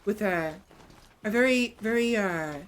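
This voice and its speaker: A woman streamer chatting casually in a soft, hesitant voice with a gravelly vocal fry, talking close to her microphone. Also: mid-range voice, unhurried, slight background noise. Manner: soft, hesitant, self-reflective, many restarts. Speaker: VTuber streamer. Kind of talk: casual chat while livestreaming a game. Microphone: close desk mic.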